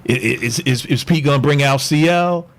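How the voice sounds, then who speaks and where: A man's voice: on the high side, fairly quick. A man talking passionately and bluntly as a podcast guest, ranting in a casual, slangy way. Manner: passionate, animated, opinionated rants.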